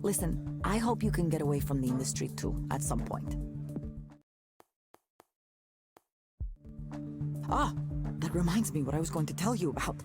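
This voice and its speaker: An actress playing a candid, lively woman musician chatting with an old friend in a naturalistic visual novel style. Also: deep voice, quick pace, loud music behind the voice. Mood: wry, confiding. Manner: expressive, conversational, frank.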